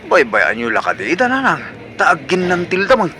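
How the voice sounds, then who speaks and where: Over clear background noise, a pushy young son with an Ilocano accent in a radio comedy drama. His voice is high for a man and swings about excitedly as he wheedles and protests. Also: normal speed, heavily acted. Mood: flustered excuses.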